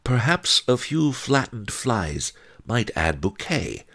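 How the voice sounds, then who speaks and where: Man voicing a calm, scheming tavern keeper, pitched up. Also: takes it slowly, full character voice. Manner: calm, thoughtful, floridly affectionate.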